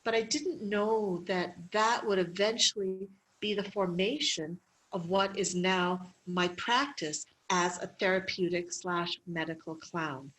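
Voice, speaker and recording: Middle-aged woman guest, a medical clown; lively, chatty anecdotes with laughter. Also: fairly low pitch, a little slow, rough recording. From Canada. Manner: lively storytelling with frequent laughs and fillers. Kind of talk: interview conversation.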